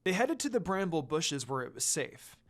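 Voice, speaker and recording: Woman narrator with very lively pitch. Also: moderate pace. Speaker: fan fiction reader. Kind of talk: expressive storytelling narration.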